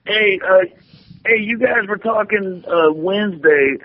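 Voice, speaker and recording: A man's voice: high-pitched, a little slow. A man calling in to a radio show, friendly and loose with lots of fillers. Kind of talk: casual phone call-in. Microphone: phone. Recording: phone line.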